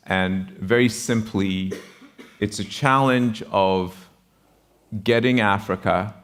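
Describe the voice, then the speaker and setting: Low-voiced, deliberate Ghanaian man. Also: a bit bright. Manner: measured, deliberate, pausing between phrases. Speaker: panelist, university founder. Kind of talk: panel discussion, explanatory speech.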